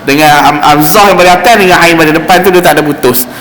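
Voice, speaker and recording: Quran teacher, male, Malaysian Malay accent, slightly high voice, explanatory classroom delivery in an echoing hall. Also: fairly bright tone. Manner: explanatory, repeats sounds slowly to demonstrate. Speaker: religious teacher giving Quran recitation class. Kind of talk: informal instruction with demonstrated Arabic recitation. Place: mosque hall. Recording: roomy echoing hall, faint background noise.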